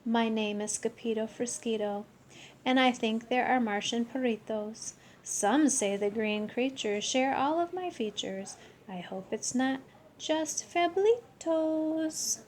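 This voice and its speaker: A woman voicing an excitable young boy kitten who fancies himself a chihuahua and sprinkles his talk with Spanish words. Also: on the high side, slow, a little hiss or hum. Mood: soft singing. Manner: exclamatory, peppering speech with Spanish words.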